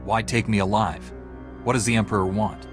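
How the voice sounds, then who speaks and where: Deepish, confident Jedi hero, played by a man. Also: fairly even intonation, moderate pace, natural voice. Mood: calm. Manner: assured, direct, courteous. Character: calm, confident heroic young Jedi knight. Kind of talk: video game acting. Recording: music behind the voice.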